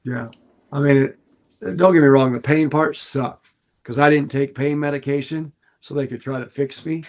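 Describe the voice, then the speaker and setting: Older man, raspy, earnest, animated storyteller, casual podcast chat. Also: mid-range voice, normal speed. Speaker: podcast co-host.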